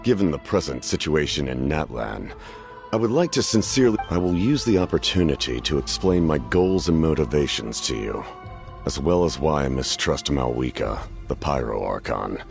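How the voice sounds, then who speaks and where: A deep, gravelly schemer. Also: male voice, lively intonation, darkish tone, faint music behind, full character voice. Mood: persuasive. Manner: measured, formal and persuasive. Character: formal, scheming adult male faction leader. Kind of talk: game voice acting.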